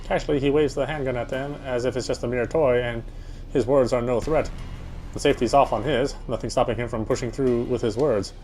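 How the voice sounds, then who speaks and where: Man narrating dramatically over clear music. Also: medium pitch, fairly even intonation, brisk, darkish tone, poor audio. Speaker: gamer reading the game's narration aloud. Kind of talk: dramatic read-aloud narration. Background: game music.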